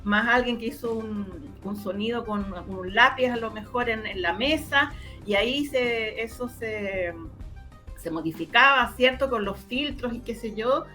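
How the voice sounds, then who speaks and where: A woman's voice: a little high, measured pace, pushed and bright, soft background music. A woman with a Chilean accent giving casual interview answers, explaining eagerly and often repeating words as she searches for the next one. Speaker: interviewee, radio drama maker.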